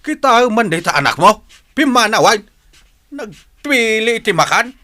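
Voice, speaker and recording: A man's voice: sits high, very animated, measured pace, pushed and bright, full character voice. An actor playing a strict, grumbling farmer father who nags in exasperation in an Ilocano radio drama. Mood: exasperated. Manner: nagging, exasperated.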